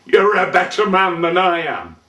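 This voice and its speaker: A man performing a dramatic poem as a gruff, sentimental British colonial soldier, reciting with theatrical, deliberate delivery. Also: high-pitched, big pitch swings, exaggerated voice. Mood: impassioned. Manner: theatrical, deliberate, with shouted calls and drawn-out phrases. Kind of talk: dramatic poetry recitation, monologue. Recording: echoey room, distant microphone.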